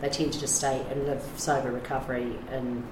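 Middle-aged New woman, very deep voice, frank and rambling, echoey room with noise. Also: flat delivery, a little fast. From New Zealand. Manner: frank, rambling, many fillers and restarts. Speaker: addiction recovery navigator. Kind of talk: candid interview talk.

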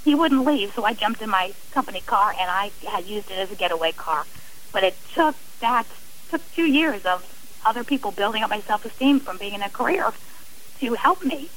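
A woman's voice: medium pitch, expressive, brisk, a bit bright, a little hiss or hum, low-quality recording. A middle-aged woman speaking as an interview guest, earnest and emphatic in a conversational way. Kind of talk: personal account in a radio interview.

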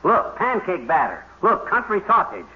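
An actor playing a blustery, defensive American husband with folksy exclamations in a radio comedy. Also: male voice, high-pitched, huge pitch swings, moderate pace. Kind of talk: 1940s radio comedy acting. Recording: noisy, muffled old radio recording.

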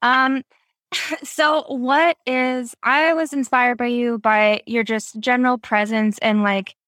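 A woman's voice: fairly high pitch, steady tone, slow, fairly bright tone, dry recording, studio quality. A woman hosting a podcast interview, reacting in a gushing, effusive way. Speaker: podcast host.

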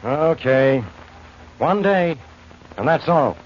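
An actor playing a stubborn, impatient police inspector in an old-time radio detective drama. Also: male voice, on the high side, expressive, takes it slowly. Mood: stern, impatient. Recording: muffled, noisy old radio recording.

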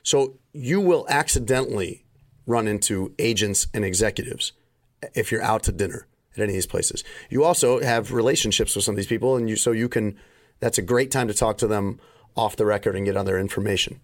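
A man's voice: on the low side, fairly quick. A middle-aged man hosting a podcast in casual chat, rambling through stories with plenty of fillers, his voice still recovering and a little limited in range. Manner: rambling storyteller, frequent fillers. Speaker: podcast host.

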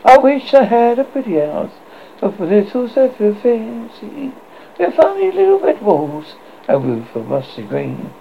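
A man singing as a dreamy young girl, lilting high. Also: sing-song, a little slow, vocal fry, muffled recording, a little hiss or hum, heavily acted.

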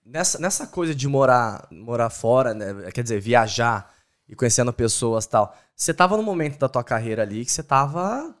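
Animated Brazilian man interviewing. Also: medium pitch, a little fast. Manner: slangy, reacts with exclamations. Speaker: podcast host and interviewer. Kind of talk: casual interview chat.

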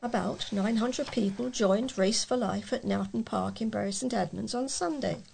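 A woman reading local news aloud as a volunteer newsreader, with a husky, creaky voice. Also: mid-range voice, normal speed, darkish tone, a little hiss or hum, poor audio.

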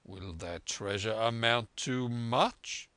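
Guy reading as a calm storybook doctor, deliberate and dry, takes his time, gentlemanly authority. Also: medium pitch, no echo. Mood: measured, probing. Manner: deliberate, composed. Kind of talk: audiobook character voice.

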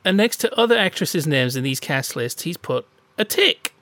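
A man's voice: on the high side, very animated, moderate pace, pushed and bright, no echo. A man co-hosting a casual chat show, telling playful anecdotes. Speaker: podcast co-host.

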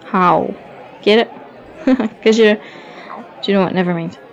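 A woman's voice: medium pitch, a little slow, muffled recording, a faint crowd, close to an everyday voice. A woman with a Scottish accent playing a brash, cheeky, foul-mouthed young woman with a sarcastic manner. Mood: teasing.

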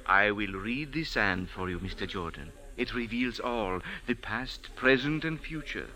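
A man's voice: on the low side, expressive, measured pace, bright, forceful tone, rough recording. An actor playing a humble, pleading male sand diviner in a 1940s radio drama. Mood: mystical.

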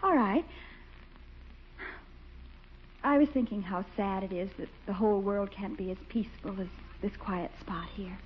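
A woman's voice: mid-range voice, fairly quick, a little hiss or hum, lightly acted. A woman playing a sweet, artless, dreamy young woman in a 1940s radio comedy. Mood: dreamy, coy. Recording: muffled 1940s radio broadcast.

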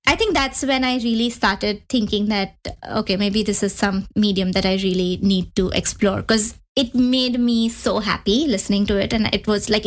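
A woman in her thirties with an Indian accent, a podcast guest chatting casually, full of "like" and "you know" as she restarts her thoughts. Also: medium pitch. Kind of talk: casual interview chat.

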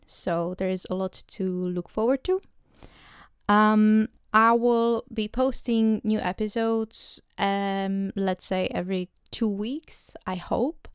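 Soft-spoken Czech woman, slow and halting. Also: medium pitch, clean tone, dry recording, studio quality. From Czech Republic. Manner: hesitant, many ums and restarts. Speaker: podcast host. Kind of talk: solo podcast monologue.

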